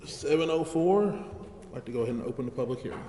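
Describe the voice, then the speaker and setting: A man's voice: medium pitch, lively intonation, fast talker, dark tone. An American man chairing a formal public meeting and running its procedure. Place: large indoor council chamber. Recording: reverberant hall, faint background noise.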